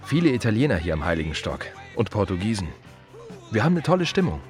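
An actor playing a plain-spoken, reflective gravedigger in a radio play monologue, talking to the listener in short, repetitive, wry phrases. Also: male voice, on the low side, sing-song, fast talker, soft background music. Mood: calm, wry.

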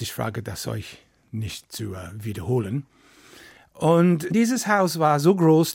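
A man's voice: mid-range voice, vocal fry, a little mellow, breathy edge, no room sound, studio quality. A man telling a folk story in to a live audience, chatty and playful with asides. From Wales. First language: English. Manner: chatty, playful asides, non-native grammar.

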